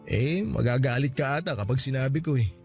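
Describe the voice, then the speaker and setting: A man playing a sheepish, soft-hearted husband in a Tagalog radio drama, hesitant and defensive. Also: medium pitch, a little fast, gravelly, close to an everyday voice. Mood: nervous, hesitant. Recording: music bed under the voice.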